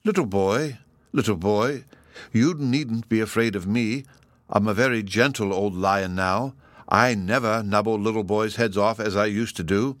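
A man voicing a very gentle old lion in a storybook, mild, polite and reassuring. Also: mid-range voice, fairly even intonation, normal speed, few pauses, dry recording, close to an everyday voice. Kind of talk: storybook character voice in audiobook reading.

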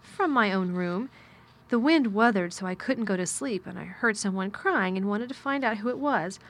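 A woman's voice: mid-range voice, lively intonation, normal speed, fairly bright tone. A woman voicing a curious, forthright young girl in an audiobook, with a direct, questioning manner. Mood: matter-of-fact. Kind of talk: audiobook character dialogue.

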